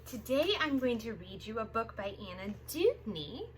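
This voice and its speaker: A woman reading aloud to young children as a storytime host, expressive and sing-song, drawing out the sound words. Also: on the high side, normal speed, slight background noise. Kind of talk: animated picture-book read-aloud for young children.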